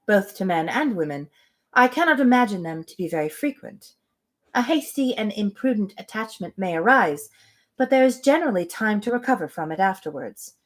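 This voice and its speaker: A woman reading aloud as a reserved, earnest young gentlewoman from a classic novel, in a grave, measured, formal way. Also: mid-range voice, natural voice. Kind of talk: storybook read aloud.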